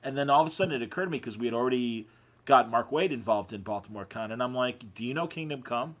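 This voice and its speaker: A man's voice: medium pitch, fast. A man hosting a podcast in casual conversation, telling anecdotes with enthusiasm. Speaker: podcast host. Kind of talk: casual chat.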